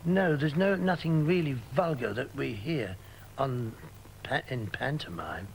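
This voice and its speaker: A man's voice: mid-range voice, lively intonation, measured pace, gravelly, slight background noise. An older man reminiscing fondly in an interview about his stage work, often adding "you know". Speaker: pantomime performer interviewed. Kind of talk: reflective interview.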